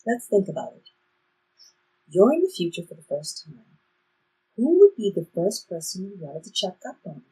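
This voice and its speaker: A woman's voice: mid-range voice, very animated, moderate pace, long pauses, mellow, breathy edge, low-quality recording. A woman playing a cautious, wry time-traveling guide who instructs, in a stage play reading. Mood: musing, teasing.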